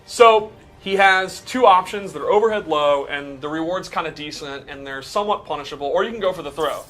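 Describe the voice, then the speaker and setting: Guy doing casual game breakdown, bright kinda high voice, explains stuff, music in the back. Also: fairly quick, rough recording. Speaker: game commentator breaking down a character. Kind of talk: casual gameplay commentary and explanation.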